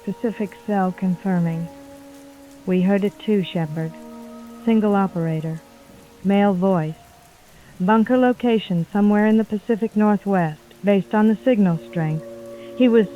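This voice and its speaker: A woman's voice: mid-range voice, unhurried. A woman playing a compassionate, resolute survivor radio operator, earnest and firm, through a radio filter. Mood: tense reporting. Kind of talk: post-apocalyptic audio drama acting. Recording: tinny phone-like radio, faint music.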